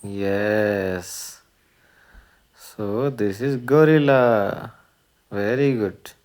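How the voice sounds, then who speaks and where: A man narrates an educational video for young children. His voice is on the low side and his delivery is extremely slow, in short simple phrases separated by pauses, often turned into questions for the listener. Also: a little mellow. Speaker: presenter of a children's learning video.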